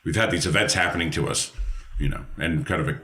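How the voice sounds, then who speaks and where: A man's voice: sits low, fast talker, bright, forceful tone, roomy sound. A man chatting casually as a player on a tabletop role-playing podcast. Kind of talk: casual group chat.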